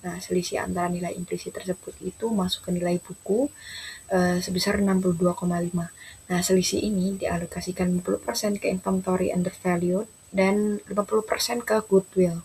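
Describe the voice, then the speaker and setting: Even-toned young woman, noisy recording. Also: lowish voice, fairly quick. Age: young adult. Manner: formal, structured presenting. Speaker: university student presenter. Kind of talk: explaining an accounting case. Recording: clear background noise, room ambience.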